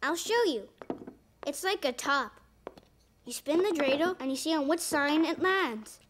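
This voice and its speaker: A woman's voice: sits high, animated, unhurried, background noise. A woman playing a friendly, playful little boy in a preschool cartoon, explaining things simply.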